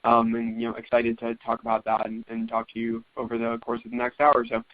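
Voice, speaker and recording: A man's voice: medium pitch, monotone, fast, no room sound. A young man from Venezuela in his twenties introducing himself on a webinar, often repeating words. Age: 20s. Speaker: webinar panelist, investment banking analyst. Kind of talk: casual panel introduction. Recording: dull dry lo-fi call audio.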